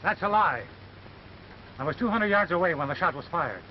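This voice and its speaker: Defiant American cowboy, slightly high voice, 1930s western, noisy soundtrack. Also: male voice, expressive, normal speed, dull audio. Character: accused cowboy, defiant, level-headed man protesting his innocence. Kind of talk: 1930s western film acting.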